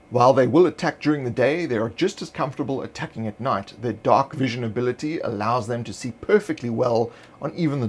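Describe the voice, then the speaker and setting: A man reading scripted descriptive narration for a fantasy roleplaying guide in a measured, explanatory way. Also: mid-range voice, a little mellow.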